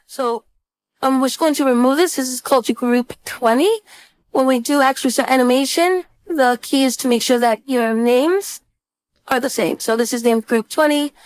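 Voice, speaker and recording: A woman instructor giving a casual screen-share software tutorial, thinking aloud informally as she demonstrates. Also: high voice, dry recording. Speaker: design instructor.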